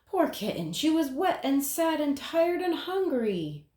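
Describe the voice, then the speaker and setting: A woman's voice: sits high, sing-song, unhurried, a little mellow. Woman reading a children's story, echoey room. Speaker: picture book reader. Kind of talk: children's story read-aloud. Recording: roomy, echoing sound.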